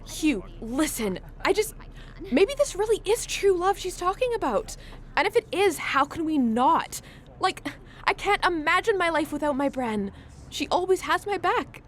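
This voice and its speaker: An actress playing an earnest young tour guide on their first day, talking persuasively and eagerly in a radio play. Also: female voice, very high voice, sing-song, moderate pace, a little hiss or hum. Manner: persuasive, eager, a bit preachy.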